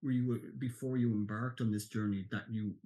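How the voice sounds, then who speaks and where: A man's voice: lowish voice, steady tone, brisk, mellow. A man hosting an interview, giving short listening murmurs and asking probing questions. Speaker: podcast host, interviewer.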